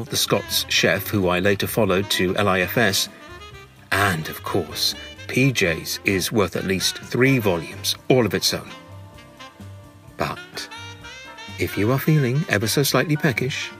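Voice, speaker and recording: A British man with a slightly low voice narrates a comic memoir. He is wry and mock-grandiose, relishing every ornate phrase, with music playing behind him. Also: a bit bright. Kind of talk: scripted humorous memoir narration.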